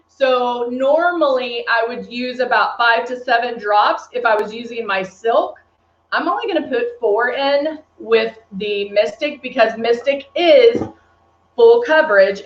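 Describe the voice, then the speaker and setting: Texan lady doing a live makeup demo, kinda high voice, chatty, muffled stream audio. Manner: chatty, answers viewers' comments as she works. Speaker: beauty brand presenter. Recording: echoey room, dull lo-fi stream audio.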